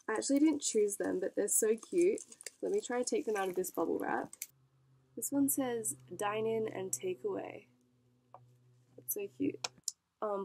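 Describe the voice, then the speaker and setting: A woman's voice: mid-range voice, creaky, warm tone, slight background noise, rough recording. A young woman vlogger, congested from a cold, chatting bubbly and casually while unboxing packages. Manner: chatty, bubbly, lots of fillers and likes.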